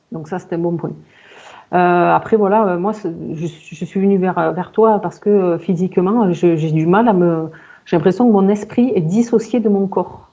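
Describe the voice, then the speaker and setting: Mellow-voiced woman in her forties, muffled audio. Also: lowish voice. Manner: halting, self-searching, repeats words. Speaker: client in a hypnosis session interview. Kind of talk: personal account in conversation.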